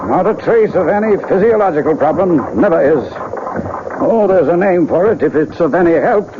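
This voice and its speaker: An actor playing a blunt, resigned family doctor in an old radio drama. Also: male voice, high voice, animated, normal speed. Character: gruff, weary male family doctor friend. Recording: tinny, noisy old radio broadcast.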